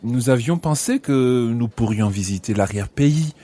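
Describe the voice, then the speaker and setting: A courteous male holidaymaker acted by a man in a language-course radio play. His mid-pitched voice swings expressively, and his manner is considerate and apologetic. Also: animated, moderate pace, mellow, lightly acted. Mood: polite, considerate. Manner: polite, apologetic. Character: courteous, slightly reserved male holidaymaker among new friends.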